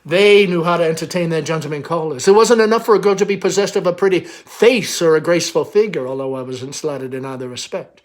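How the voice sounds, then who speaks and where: A man's voice: a little high, brisk, few pauses, close to an everyday voice. A man reading the part of a chatty, nostalgic Southern mother who talks in a theatrical, gushing way in a stage play. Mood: airy boasting. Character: chatty, airy, faded Southern belle mother, nostalgic and girlish.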